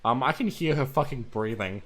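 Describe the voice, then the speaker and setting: A hoarse young man losing his voice, giving casual, swearing, nervous game commentary. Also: mid-range voice, animated, fairly quick, fairly bright tone, soft background music. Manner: swears a lot, nervous and joking. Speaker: let's play gamer.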